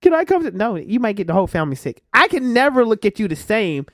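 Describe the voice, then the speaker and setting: A man co-hosting a podcast, talking in a loose, animated, rambling way full of slang. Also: sits high, fast, no echo, clean studio sound. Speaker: podcast co-host. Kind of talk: casual chat.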